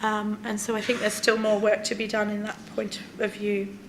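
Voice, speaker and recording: A woman's voice: medium pitch, completely flat delivery, normal speed, noisy background. A woman presenting a report at a formal meeting, careful and hesitant, with frequent fillers. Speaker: clinical lead presenting a report.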